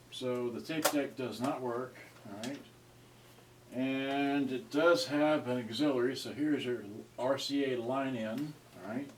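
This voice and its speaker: A man giving a casual, unscripted gadget review in a halting, rambling way, often trailing off mid-thought. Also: medium pitch. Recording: distant mic with clear noise and clicks.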